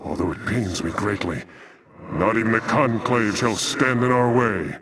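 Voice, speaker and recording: A man's voice: fairly low pitch, moderate pace, far from the mic, noisy background, close to an everyday voice. A man voicing a noble, brave, sorrowful alien templar commander, earnest and formal with impassioned gravity, for a video game. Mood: grim resolve.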